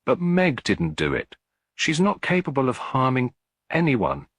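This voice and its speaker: A man's voice: mid-range voice, wildly swooping pitch, measured pace, a bit bright, slightly rough, no echo, studio quality, voice pushed up, exaggerated voice. A man voicing a timid, anxious young housemaid in an audiobook mystery, tremulous, hesitant and deferential. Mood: earnest, distressed.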